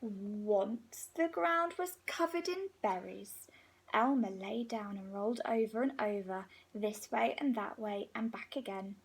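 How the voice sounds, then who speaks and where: Female storybook reader for young children: slow, animated delivery with a breathy edge and deliberate pauses. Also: mid-range voice, low-quality recording. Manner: unhurried, animated, pauses between phrases for young listeners. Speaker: teacher reading a picture book to young children. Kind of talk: expressive children's storybook read-aloud.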